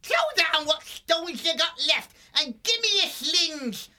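A narrator voicing a small, hot-tempered fox gang chief with a squeaky voice and rough, bossy, rustic speech in a storybook reading. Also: expressive, slow pace, pushed and bright, full character voice. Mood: furious, shouting. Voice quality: squeaky, shrill.

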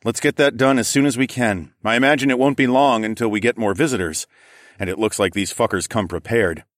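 A man's voice: mid-range voice, brisk, no room sound, clean studio sound, pitched above the performer's own voice, close to an everyday voice. A man voicing a firm, protective, sardonic male group leader in an audiobook. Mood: encouraging.

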